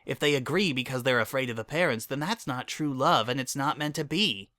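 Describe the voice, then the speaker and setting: An affectionate female unicorn from a cartoon storybook, acted out by a man. The voice is bright and close, with a patient, explaining manner. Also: mid-range voice, brisk, no room sound, natural voice. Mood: tender, reassuring. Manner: explanatory, reassuring.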